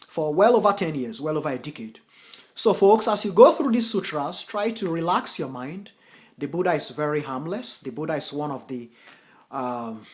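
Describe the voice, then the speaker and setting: A man with a fairly high-pitched voice reads verses of scripture, pushing hard on key phrases. Between readings he turns loose and informal as he talks teaching to his viewers, calling them folks. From United States. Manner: declaims verses with forceful emphasis, then talks casually, addressing viewers as folks. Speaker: Buddhist translator and teacher.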